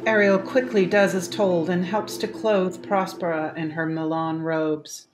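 Slow-paced woman narrator over music. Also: lowish voice, flat delivery, rough recording. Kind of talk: scripted stage-direction narration for a radio play.

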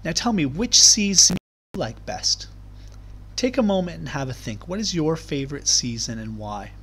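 A man reading aloud to young children as a teacher, explaining things in clear, simple terms. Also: on the high side, expressive, normal speed, fairly bright tone, slight background noise. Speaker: primary school teacher. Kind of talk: children's read-aloud lesson.

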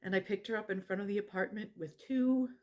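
A middle-aged actress playing an earnest woman who helps abuse victims, speaking deliberately in a testimonial monologue with emphatic pauses. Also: mid-range voice, a little mellow, natural voice. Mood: earnest, moved. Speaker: actor in a dramatized narrative. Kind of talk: dramatized personal testimonial monologue to camera. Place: living room at home.

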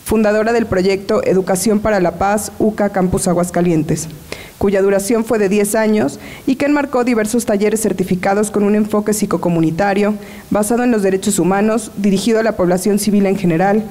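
A woman's voice: mid-range voice, brisk. A young woman reading a formal speaker introduction from a paper, outdoors beside a garden. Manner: steady formal reading, listing titles. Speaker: presenter.

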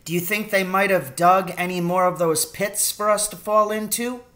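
An eager young boy from a storybook, played by a man reading aloud. The voice is pitched up high, curious and full of wide-eyed questioning. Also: normal speed, sharp tone.

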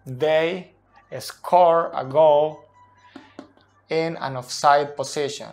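A man in his thirties whose first language is Spanish hosts an unscripted sports explainer. His mid-pitched voice moves haltingly and deliberately, picking words with care. He is recorded on a cell phone in a small room, with faint music. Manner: slow, halting, deliberate delivery in non-native English. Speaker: video host, soccer analyst. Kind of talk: unscripted explainer at a tactics board. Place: small indoor room at a whiteboard. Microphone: cell phone at close distance.

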